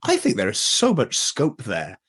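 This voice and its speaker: A man's voice: medium pitch, huge pitch swings, a little slow, fairly bright tone, husky. A man, a voice actor chatting casually as an interview guest. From Wales.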